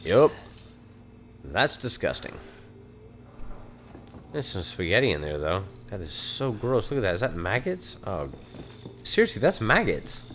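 A hoarse man with a Texan accent, chatting nervously and muttering as he plays a horror game, swearing at the scares. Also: on the low side, sing-song, measured pace, pauses often, a bit bright. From Texas. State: hoarse from allergies. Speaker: let's play gamer.

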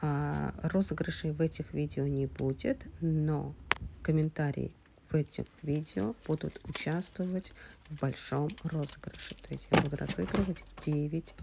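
A woman with a low voice, recovering from a slight illness, narrates a casual unboxing at home in a soft, breathy half-whisper. Her voice has a gravelly, fry-like edge, and a loud background hiss runs under her chatty talk, which is full of fillers. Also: fairly even intonation, normal speed. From Russian-speaking, living in the US. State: slightly ill, speaking softly. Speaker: vlogger. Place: home, indoors.

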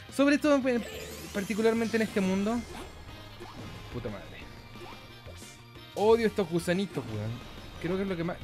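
A man with a Chilean Spanish accent giving casual, playful gameplay commentary, joking and swearing as he goes. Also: a little high. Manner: playful, joking, sweary, with silly sound effects and singsong. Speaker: gaming YouTuber. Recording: game music behind the voice. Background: video game music.

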